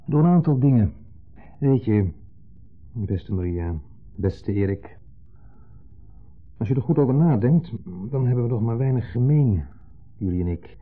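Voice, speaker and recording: An actor playing a wistful, brooding, middle-aged ex-husband in a radio play, speaking in wordy, hesitant, formally polite sentences with a pointed undertone. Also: male voice, medium pitch, lively intonation, unhurried, long pauses. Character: wistful, brooding ex-husband, a long-winded dinner guest. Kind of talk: 1970s Dutch radio play acting. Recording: muffled, phone-like 1970s radio recording.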